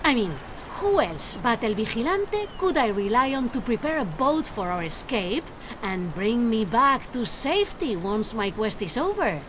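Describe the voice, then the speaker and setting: A woman voicing a bold, sassy young swashbuckling heroine in a video game, animated and playfully defiant. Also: on the high side, moderate pace, background noise. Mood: playful, warm.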